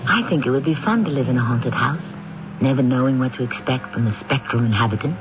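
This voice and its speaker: A man hosting and narrating a radio drama in a measured, wry storyteller's manner. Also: mid-range voice, lo-fi sound. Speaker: host narrator. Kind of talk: scripted narration. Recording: old radio broadcast with music behind.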